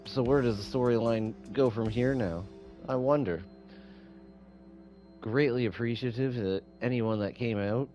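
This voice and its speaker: A man's voice: mid-range voice, a little slow, pauses often, warm tone. A man streaming a game with casual, musing commentary, often repeating himself. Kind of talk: casual livestream commentary, reading game dialogue aloud. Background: music.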